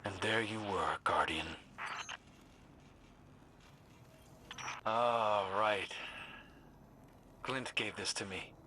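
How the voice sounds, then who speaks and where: A gentle, haunted guardian from a video game, reflective and brooding. The voice sits fairly low with a very sharp, breath-edged brightness. Faint noise lies behind the soft, halting delivery. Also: male voice. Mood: earnest, vulnerable. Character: gentle, brooding amnesiac reborn male guardian hunter. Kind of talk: dramatic video game cutscene acting.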